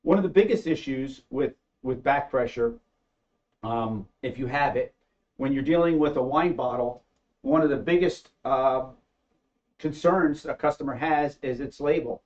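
A man's voice: medium pitch, unhurried, a little mellow, muffled recording. Hesitant middle-aged man explaining machinery. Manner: hesitant, many fillers. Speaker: company engineer, co-inventor. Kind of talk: casual panel discussion. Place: studio set with backdrop.

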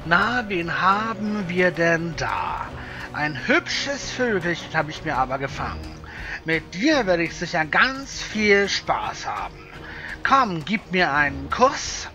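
A man's voice: on the high side, sing-song, slow pace, over music. A man playing a cruel, leering pirate who taunts lecherously in a fantasy audio drama. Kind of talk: fan-made fantasy audio drama acting.

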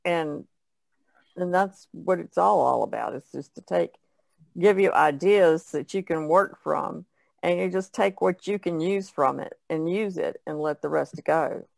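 A woman's voice: fairly low pitch, unhurried, creaky, darkish tone, no room sound. A woman chatting casually as an artist on a podcast panel. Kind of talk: casual chat.

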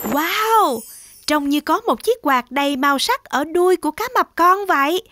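Very high, bright woman narrating for children. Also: lively intonation, normal speed, a little hiss or hum. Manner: exclamatory, talks directly to child viewers. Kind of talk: animated scripted storytelling for young children. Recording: music behind the voice. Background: children's music.

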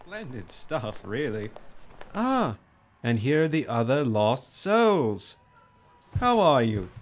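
A man's voice: medium pitch, very animated, slow pace. A man acts out a self-absorbed company boss for an audio drama. His delivery is grandiose and dismissive, with very varied intonation. The sound is slightly dull, with faint noise behind it. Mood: breezy, imperious.

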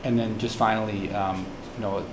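A man's voice: lowish voice, level tone. A man serving as town administrator, giving an earnest report at a town meeting, with frequent restarts, on a table microphone in a town hall room. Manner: earnest, fast, with many restarts. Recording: echoey room with hiss.

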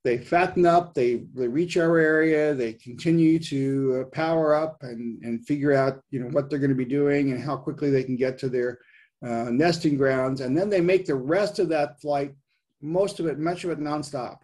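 Male, sixties, somewhat higher voice, explaining things as a presenter in a relaxed online lecture, stressing the start of phrases. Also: moderate pace. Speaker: presenter, science writer giving a nature talk. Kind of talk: informal slide lecture over video call. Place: indoors at home, on a video call.

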